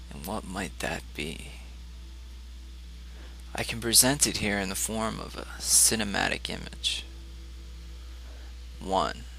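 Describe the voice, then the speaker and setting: Deep gravelly man reading a story. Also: bright, forceful tone, a little hiss or hum, low-quality recording. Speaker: audiobook reader. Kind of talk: scripted literary narration, first-person short story.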